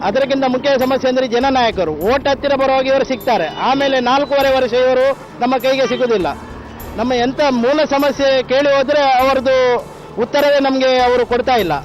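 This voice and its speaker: A man with a very high voice and a Mangalore accent speaks in a street interview. He is emphatic and complaining, with faint music behind. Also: moderate pace, highs cut off. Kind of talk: street vox pop.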